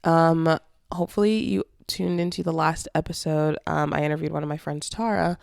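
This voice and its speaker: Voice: medium pitch, fairly even intonation, normal speed, gravelly, clean tone, no room sound, clean studio sound. A young adult podcast host talking alone in a casual, reflective way, a bit congested and nasal, with lots of "like" and "you know". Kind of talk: solo podcast monologue.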